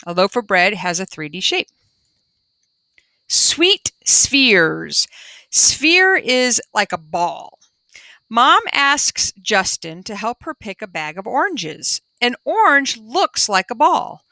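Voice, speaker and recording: A woman's voice: a little high, sing-song, slow pace, bright, forceful tone, no room sound. A woman teacher reading a children's book aloud and adding simple explanations for young pupils. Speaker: primary school teacher.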